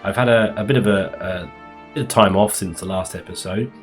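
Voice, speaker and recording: A man a little croaky from being sick, rambling casually through commentary on his game build with many ums and restarts. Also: fairly low pitch, brisk, soft background music. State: slightly croaky from illness. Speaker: Minecraft let's play host.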